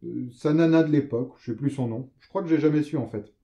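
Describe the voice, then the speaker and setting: A man's voice: mid-range voice, fast, lo-fi sound, natural voice. A man playing a talkative, jittery screenwriter in an audio drama, colloquial and a little hesitant. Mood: musing. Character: chatty, jittery male screenwriter, politely hospitable.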